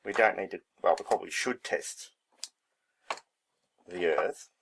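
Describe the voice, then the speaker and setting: A man's voice: low-pitched, measured pace, creaky, a bit bright, slight background noise. A man giving a casual hands-on demonstration, thinking aloud in a laid-back, unscripted way as he works. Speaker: hobbyist presenter.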